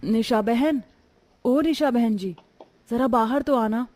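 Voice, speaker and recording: A woman's voice: fairly high pitch, slow, a little mellow, voice pushed up. A woman voicing a poor, dutiful young daughter-in-law in a melodramatic story, earnest and pleading. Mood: polite, pleading. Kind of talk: melodramatic Hindi moral story acting.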